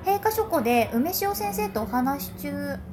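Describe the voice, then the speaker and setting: A woman's voice: high-pitched, big pitch swings, measured pace, background noise. A woman playing a laid-back, quirky senior student at a magic school in an amateur audio drama, speaking in a casual, friendly way.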